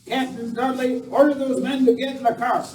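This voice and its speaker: A man playing a brisk, commanding army major in an amateur western radio play. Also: very high voice, little pitch movement, darkish tone. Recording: roomy distant live recording with noise.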